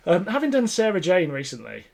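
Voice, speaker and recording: A man's voice: high voice, huge pitch swings, brisk, vocal fry, a little mellow. A man co-hosting a podcast, talking casually with opinionated, sweary remarks in a review chat. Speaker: podcast co-host.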